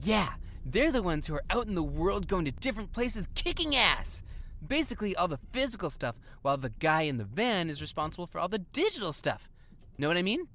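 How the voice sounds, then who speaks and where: An excitable, swooping teenage boy comic geek. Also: lowish voice, brisk. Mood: eager, explaining. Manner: eager explaining, whiny when teased. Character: excitable fifteen-year-old comic-geek teenage boy, easily annoyed. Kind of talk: audio drama acting.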